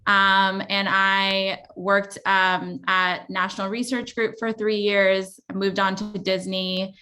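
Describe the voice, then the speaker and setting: Bright-toned woman from Los Angeles. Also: mid-range voice, flat delivery, slow. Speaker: panelist. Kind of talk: panel discussion.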